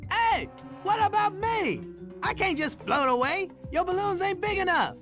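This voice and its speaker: High, excitable cartoon zoo animal. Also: male voice, a little slow, exaggerated voice. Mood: pleading. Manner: slangy, exclamatory. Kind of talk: video game cartoon voice acting. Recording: loud music over the voice.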